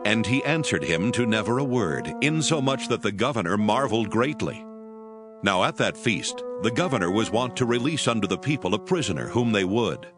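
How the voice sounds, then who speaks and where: Low-voiced male scripture narrator. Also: normal speed. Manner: steady, formal reading. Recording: narration over music.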